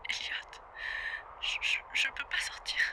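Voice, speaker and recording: A woman's voice: unhurried, whispered, lightly acted. An actress playing an anxious, frightened wife in a naturalistic audio drama, hesitant and repeating her words. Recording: background noise under the voice.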